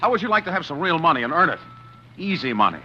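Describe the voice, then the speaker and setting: A man's voice: a little high, sing-song, fast, bright, forceful tone, exaggerated voice. An actor playing a desperate, scheming man who narrates his own story in a 1940s radio drama. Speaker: narrating lead character. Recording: dull old radio broadcast with hiss.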